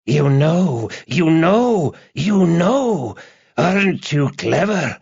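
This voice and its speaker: Deranged male kidnapper, breathy hissing rasp, slow drawn-out threats, gloating, audiobook thriller villain. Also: mid-range voice, expressive, no room sound, highs cut off, voice pushed up, exaggerated voice. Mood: manic gloating. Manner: gloating, sneering, repetitive. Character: crazed male kidnapper, taunting and menacing over the telephone.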